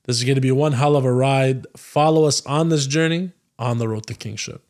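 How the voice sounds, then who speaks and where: A man's voice: mid-range voice, steady tone, a little mellow. Upbeat male podcast host. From Canada. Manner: upbeat, hyping, quick questions. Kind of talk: casual interview chat.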